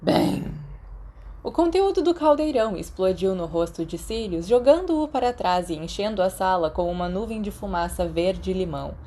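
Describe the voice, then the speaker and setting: A woman's voice: medium pitch, expressive, normal speed, mellow. A woman with a Brazilian accent reading scripted fiction as an audiobook narrator.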